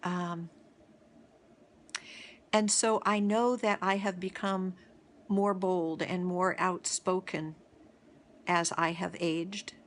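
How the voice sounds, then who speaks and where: A middle-aged woman telling a reflective personal story as host of a daily video series, halting often, with pauses and restarts. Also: fairly low pitch, steady tone.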